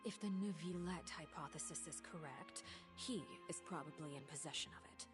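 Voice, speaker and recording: A woman acting a cool, calculating, secretive female spymaster in a video game, speaking in a measured, formal and deliberate way. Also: deep voice, music in the background, lightly acted. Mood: brooding, calculating.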